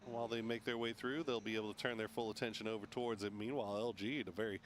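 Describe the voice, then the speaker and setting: A man's voice: on the low side, fairly even intonation, very fast, slight background noise. A man giving live video game tournament commentary and bantering with a co-caster. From North America. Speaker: esports commentator.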